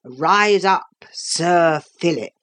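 A woman's voice: fairly low pitch, expressive, very slow, a bit bright, heavily acted. A woman voicing a solemn, formal male storybook leader with cold, pompous dignity. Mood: ceremonious. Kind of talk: storybook character voice.

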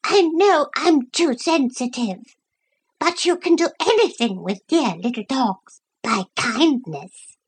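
A woman's voice: high-pitched, animated, takes it slowly, breathy edge, pitched above the performer's own voice, full character voice. A woman voicing a self-pitying, whining female pet dog in a storybook reading. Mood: fawning. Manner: whining, plaintive.

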